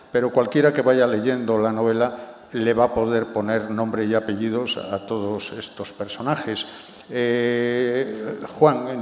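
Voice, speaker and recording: Measured male presenter in a hall. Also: medium pitch, darkish tone. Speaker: presenter of the book event. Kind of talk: introducing a speaker at a public event. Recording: echoey hall, long reverb.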